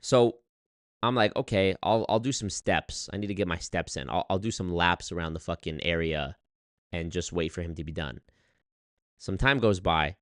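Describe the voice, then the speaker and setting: A man telling a story on his livestream in an animated, exasperated way, swearing often and reading chat. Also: deep voice, normal speed, dry recording, studio quality. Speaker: streamer. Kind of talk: casual livestream rant.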